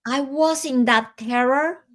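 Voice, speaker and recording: A woman with a fairly high voice recounts a personal memory as a guest. She speaks in an unhurried, reflective way, pausing often and letting soft laughs slip in. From Colombia. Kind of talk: personal storytelling in an interview.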